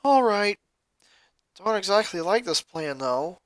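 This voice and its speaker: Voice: mid-range voice, animated, slow pace, dry recording. A reader voicing an easygoing but reluctant teenage older brother in a story. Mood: reluctant, uneasy.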